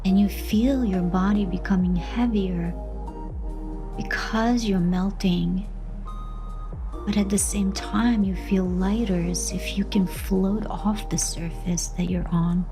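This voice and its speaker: A woman leading a guided meditation in a gentle, unhurried manner, pausing between short soothing phrases of instruction. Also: medium pitch, fairly even intonation. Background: background music.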